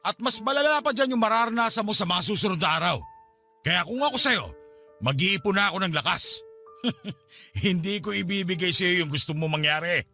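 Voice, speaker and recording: Radio drama villain: proud, menacing Filipino husband, forceful and creaky, sneering delivery, Filipino accent. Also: male voice, sits high, moderate pace, faint music behind, full character voice. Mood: threatening. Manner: taunting, sneering laughs between lines.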